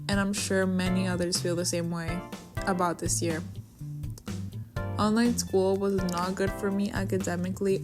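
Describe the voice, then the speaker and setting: A woman of twenty from Venezuela, a native Spanish speaker, cheerfully narrating her personal story for a vlog. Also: mid-range voice. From Caracas, Venezuela. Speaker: vlogger. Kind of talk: personal narration over a drawing video. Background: music.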